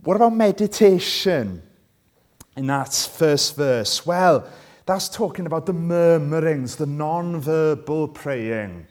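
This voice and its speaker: A man with a Welsh accent, a native Welsh speaker, delivers a sermon at an unhurried pace. His voice sits a little high, and he talks to his listeners conversationally, often inviting agreement. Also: lively intonation, darkish tone. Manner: conversational, asks listeners rhetorical tag questions. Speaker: preacher. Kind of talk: sermon with scripture reading.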